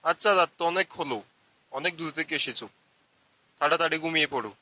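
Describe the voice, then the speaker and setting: A man's voice: a little high, quick pace, bright, forceful tone. A man voicing a hospitable, cautioning older uncle in a cartoon, with a fatherly manner. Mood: fatherly, cautious.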